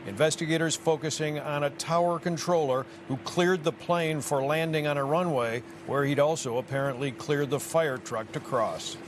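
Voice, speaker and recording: A male American reporter delivers a scripted news story in a slightly higher-pitched voice. His phrasing is measured and emphatic, in classic broadcast style. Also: noisy background. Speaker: investigative TV reporter.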